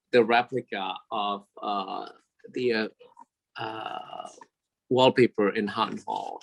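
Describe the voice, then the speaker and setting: A man who grew up speaking Javanese talks about design in an earnest, sentimental way. He speaks at a middling pitch, stops often on fillers, and restarts his sentences. He is recorded at his office at a city store. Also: rough recording. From Indonesia. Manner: warm, sentimental, halting with many fillers. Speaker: interior designer. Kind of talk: casual interview over video call.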